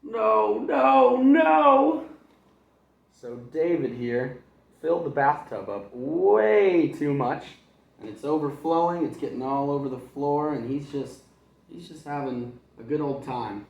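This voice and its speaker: A male kindergarten teacher reads a picture book aloud, wandering off into chatty asides and little chuckles. His pitch is mid-range for a man, and the sound bounces around a roomy, echoing space. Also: unhurried, creaky, a little mellow, dull audio. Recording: echoey room.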